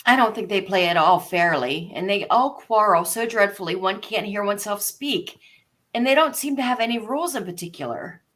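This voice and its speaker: A woman's voice: mid-range voice, expressive, moderate pace, a bit bright, natural voice. A woman playing a polite, curious and forthright young girl in a storybook read, speaking earnestly. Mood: indignant.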